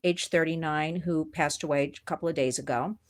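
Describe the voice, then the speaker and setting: A woman, hosting an interview show and chatting with a guest in an animated, gushing way, often laughing. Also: low-pitched, a little fast. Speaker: podcast host. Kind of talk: enthusiastic interview chat.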